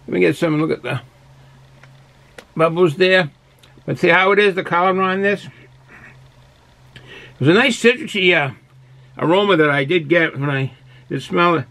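Gravelly older Boston man, bright voice. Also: a little high. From Boston, United States. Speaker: beer reviewer. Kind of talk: casual review chat to camera. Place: small cluttered indoor hobby room.

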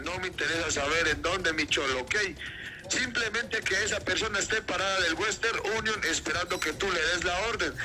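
A man with a Mexican accent giving insistent, commanding instructions over a phone call played on air. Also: high-pitched. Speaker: extortion caller. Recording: tinny phone call with music bed.

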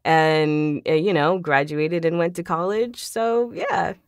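A woman's voice: low voice, takes it slowly, clear, resonant tone, no echo, studio quality. A woman talking casually and informally as a podcast guest, telling self-deprecating stories about herself. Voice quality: trained singer. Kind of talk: casual interview chat, personal anecdotes.

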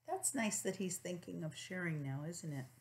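A middle-aged woman reading a children's storybook aloud as a preschool teacher, with gentle questioning asides to young listeners. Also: lowish voice, lively intonation, moderate pace.

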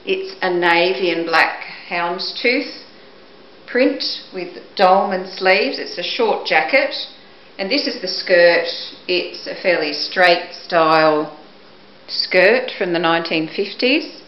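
A middle-aged woman shows auction items with a measured, descriptive delivery. Her voice sits slightly low and moves slowly. It is picked up by a camera microphone in a room at home, with a dull, muffled sound. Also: animated, roomy sound. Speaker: auction presenter. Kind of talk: product showcase, descriptive talk. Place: indoors, living or dining room at home. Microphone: camera microphone a few steps away.

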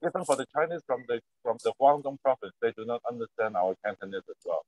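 A man's voice: mid-range voice, expressive, quick pace, a little mellow, rough recording. A man from Hong Kong guiding a tour, explaining things in a patient way. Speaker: tour guide. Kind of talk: casual guided tour chat.

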